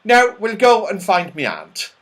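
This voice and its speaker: Man playing silly storybook chap, high raised voice, loud, exclamatory, swooping wildly, scatterbrained. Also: wildly swooping pitch, measured pace, exaggerated voice. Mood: puzzled, eager. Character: excitable, silly, slightly deaf storybook fellow.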